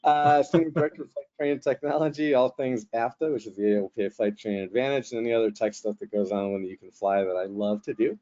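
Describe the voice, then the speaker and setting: A man's voice: mid-range voice, quick pace, few pauses, dark tone. A man hosting and moderating a webinar in a casual, joking way, rambling with fillers. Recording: slightly muffled webinar audio.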